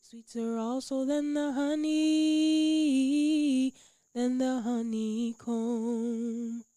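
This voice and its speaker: A woman singing a devotional scripture song in drawn-out phrases. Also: high-pitched, steady tone, dark tone, no room sound, clean studio sound. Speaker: singer. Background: musical accompaniment.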